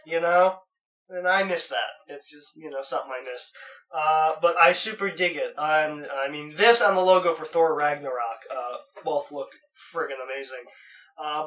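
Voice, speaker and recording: A man's voice: fairly high pitch, sharp tone, far from the mic. A young man with a cold, chatting casually while unboxing, rambling with lots of "uh"s and restarts, recorded in his bedroom. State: has a cold. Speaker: unboxing vlogger. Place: bedroom at home.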